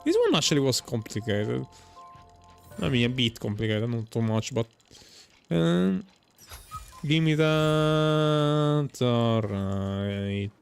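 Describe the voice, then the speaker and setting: A man's voice: mid-range voice, lively intonation, takes it slowly, gravelly, pauses often. A man giving casual, informal gameplay commentary, muttering reactions and exclamations as he plays. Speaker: gamer commenting on his playthrough. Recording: game music behind the voice.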